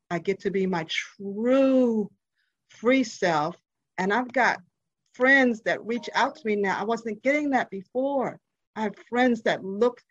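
A woman's voice: medium pitch, no echo. A woman sharing earnestly and reflectively at a support meeting, heard over a video call. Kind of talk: personal share at a support meeting. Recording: dry, muffled video call audio.

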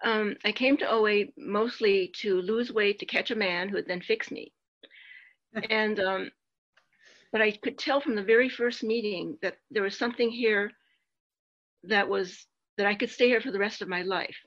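A woman's voice: medium pitch, moderate pace, a bit bright. An older woman candidly sharing her personal story at a support meeting, with self-deprecating humor, heard over a video call. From United States. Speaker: meeting speaker. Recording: muffled lo-fi video call audio.

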